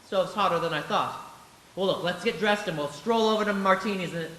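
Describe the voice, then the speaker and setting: A man's voice: high-pitched, fairly quick, sharp tone, slight background noise. A man playing a bewildered, desperate small-town family man in 1940s radio drama style, stammering and insistent. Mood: startled, restless. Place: live theatre stage. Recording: roomy stage hall with music behind.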